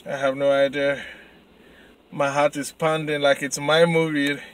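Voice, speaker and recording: A man in his thirties with a Nigerian accent, vlogging excitedly from his car at night into a handheld camera. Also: a little high, a little slow, a little hiss or hum. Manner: excited, chatty. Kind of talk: casual vlog. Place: car at night, then city street. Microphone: handheld camera at arm's length.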